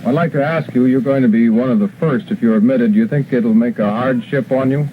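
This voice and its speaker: A man's voice: mid-range voice, brisk. Male newsman on tinny, noisy film. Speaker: news interviewer. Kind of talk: formal interview questions. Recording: tinny old newsfilm, clear noise.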